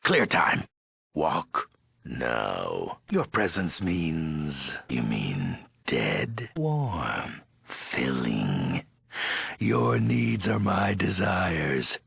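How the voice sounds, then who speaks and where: Very deep, gravelly ghoul overlord. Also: male voice, huge pitch swings, very slow, a bit bright, no room sound, clean studio sound, full character voice. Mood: scheming, threatening. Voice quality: very gravelly, raspy. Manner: terse, commanding, threatening, odd broken syntax. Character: menacing, weary ghoul overlord with clipped, cryptic slang. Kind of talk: video game character voice acting.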